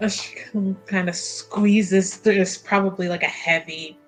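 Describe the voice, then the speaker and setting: Unhurried woman playing a tabletop game. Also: lowish voice, a bit bright, rough recording. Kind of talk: casual game chat and improvised narration.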